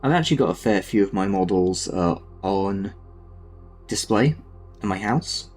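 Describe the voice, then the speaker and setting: Southern English streamer, slightly low voice, easygoing rambling chat, soft music behind. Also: male voice, animated, a little slow. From Southern England. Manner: rambling and self-deprecating, many fillers. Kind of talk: casual chat while painting miniatures.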